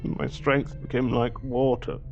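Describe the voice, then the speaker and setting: An actor playing an agitated, weakened madman with a gravelly voice and a halting, urgent manner in an audio drama. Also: mid-range voice, flat delivery, darkish tone, highs cut off, faint music behind. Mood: grim, fading.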